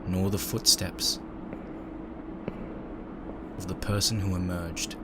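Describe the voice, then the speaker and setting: Male narrator for dark audio fiction, deep register, somber and measured, heavy sound effects behind him. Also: flat delivery, noisy background. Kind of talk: dramatic first-person story narration. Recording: loud engine and ambient sound effects. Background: background sound effects of ambience and machinery.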